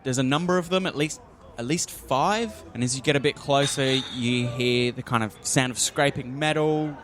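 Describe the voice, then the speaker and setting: A man's voice: mid-range voice, moderate pace, fairly bright tone, slight background noise. A man narrating a tabletop roleplaying game as game master, with descriptive storytelling.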